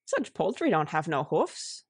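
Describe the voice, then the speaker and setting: A woman voicing a shrewd, good-natured, calm housewife who speaks wryly in foreign-tinged broken syntax. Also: low voice, unhurried, husky, no room sound, studio quality, heavily acted.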